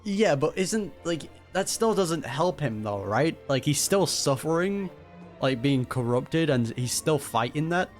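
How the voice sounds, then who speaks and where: A man's voice: fairly high pitch, lively intonation, unhurried, faint music behind. A man giving casual, slangy reaction commentary as he plays a game, musing informally as a streamer. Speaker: gaming streamer.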